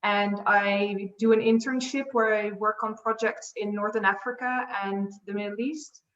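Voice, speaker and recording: A woman from the Netherlands introduces her studies in an online seminar, articulate and steady. Her voice sounds distant and dull. It carries a roomy echo over the call. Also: mid-range voice, normal speed. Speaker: student. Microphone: video call.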